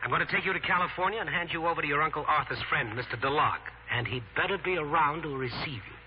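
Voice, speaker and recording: A muffled, noisy vintage radio broadcast carries a henpecked grown son, played by a man. He talks quickly in a raised register, with nervous, exasperated phrasing and the broad style of 1940s radio acting. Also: on the high side, close to an everyday voice. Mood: defiant. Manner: hurried, stammering, nervous phrasing.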